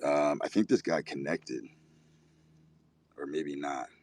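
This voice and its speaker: Very deep-voiced man, blunt and profane. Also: steady tone, slow, slight background noise. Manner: forceful, swears constantly, preachy. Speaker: show host. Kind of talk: blunt profane motivational talk.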